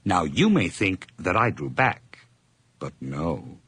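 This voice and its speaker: A feverish radio-drama killer. Low, breathy, slow, and very expressive. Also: male voice, heavily acted. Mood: gloating. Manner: swings from hushed whispers to high-pitched gleeful outbursts. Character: feverish, gloating male murderer narrating his crime. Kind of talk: 1980s radio drama acting.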